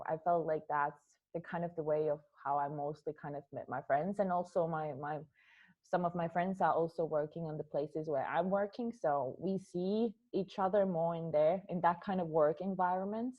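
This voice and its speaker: A woman's voice: sits low, a little mellow, lo-fi sound. A young woman from Finland with a Finnish accent, answering interview questions about her dance work, often repeating words as she searches for them. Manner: hesitant, repeats words while thinking. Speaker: dance artist.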